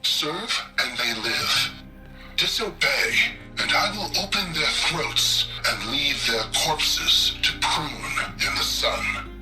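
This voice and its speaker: A man's voice: on the high side, expressive, over loud music, low-quality recording. An actor playing a menacing male killer who threatens hostages, in a video game cutscene. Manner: slow, cruel, threatening.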